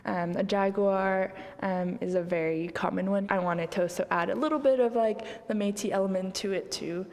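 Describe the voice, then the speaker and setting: A woman's voice: medium pitch, fairly even intonation, normal speed, a little mellow, big-room sound. A young woman artist speaking casually and reflectively in an interview, often saying "like" and "kind of". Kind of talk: reflective interview talk about own art.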